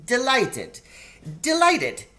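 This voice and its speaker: A man's voice: extremely high pitch, wildly swooping pitch, drawn-out words, pushed and bright, pitched above the performer's own voice, heavily acted. A man voicing a nervous, kindly faun in a storybook reading, with courtly, stammering speech. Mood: flustered, delighted. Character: nervous, flustered, kindly male faun, eager to please.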